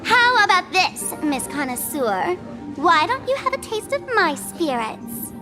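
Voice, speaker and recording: A woman's voice: very high-pitched, big pitch swings, a little slow, full character voice. A woman voicing a mischievous, mocking young female trickster in an anime game, theatrical and playful. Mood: taunting, gleeful. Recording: game music behind.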